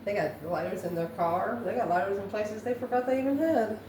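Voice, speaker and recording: A woman reads tarot cards for her audience, thinking aloud in short, halting phrases. Her mid-pitched voice comes from a little way off the mic in a roomy, echoing space. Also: darkish tone. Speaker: tarot reader, host. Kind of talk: intuitive tarot card reading, talking to viewers. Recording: roomy echo, distant mic, background noise.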